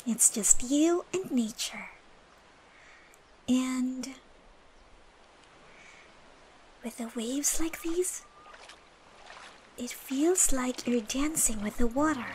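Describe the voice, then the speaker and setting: A woman voicing a gentle, cheerful young female dancer in a soft, soothing ASMR comfort roleplay, breathy and encouraging. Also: high voice. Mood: dreamy, soothing. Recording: faint background music and ambience.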